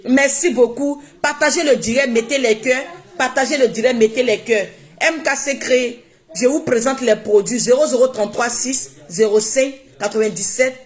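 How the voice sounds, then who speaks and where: A woman's voice: fairly high pitch, moderate pace. A woman whose first language is not French, speaking emphatically and repeating herself in a casual live-stream monologue on her phone. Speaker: social media live streamer.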